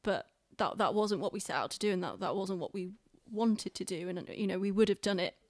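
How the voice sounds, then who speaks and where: A woman's voice: medium pitch, a little fast, darkish tone, no echo. A woman talking casually and earnestly on a podcast, explaining things in an explanatory way.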